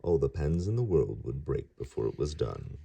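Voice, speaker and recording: A man voicing a gentle, wise old male poet in a read-aloud picture book, close to his own deep voice but slow and measured. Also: very animated, gravelly, breathy edge, exaggerated voice. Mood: curious.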